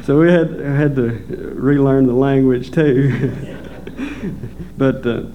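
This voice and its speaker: A man from Delaware who grew up in rural Kentucky, with Pennsylvania Dutch as his first language, shares his faith testimony haltingly with frequent hesitations and soft chuckles. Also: medium pitch, fairly even intonation, a crowd in the background. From Delaware, raised in rural Kentucky. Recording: dull lo-fi recording with faint hum.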